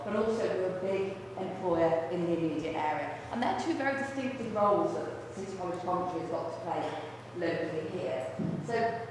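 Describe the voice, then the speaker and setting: Woman giving a brisk talk, picked up from far across a roomy hall, faint hiss behind. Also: mid-range voice. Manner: brisk, businesslike, speaks without a microphone. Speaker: college vice principal, speaker. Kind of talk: presentation to a luncheon audience. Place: large echoey function room. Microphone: far room microphone.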